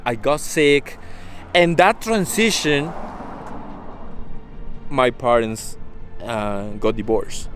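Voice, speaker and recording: A man from Ecuador with a Spanish accent tells his personal story in a casual, earnest vlog style, in non-native English. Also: medium pitch. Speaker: vlogger. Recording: music under the voice.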